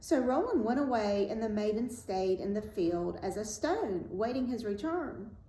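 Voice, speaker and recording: A woman reading a fairy tale aloud as a storyteller, in a measured, dramatic and expressive way. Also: mid-range voice. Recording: roomy echo, faint background noise.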